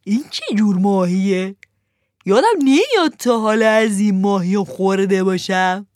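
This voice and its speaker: A woman putting on a greedy ogre-like fisherman, who savors every word slowly and mockingly, his voice swinging widely up and down. It is a dry, close storybook reading. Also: medium pitch, big pitch swings, no echo, close to an everyday voice. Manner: slow, relishing, mocking.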